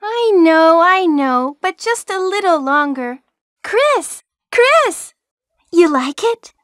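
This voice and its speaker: A woman's voice: very high-pitched, sing-song, takes it slowly, a bit bright, no room sound, clean studio sound, exaggerated voice. A woman playing an eager, girlish young rookie medic in a horror video game, with perky, stiff phrasing. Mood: wistful, polite. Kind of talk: stilted 1990s video game voice acting.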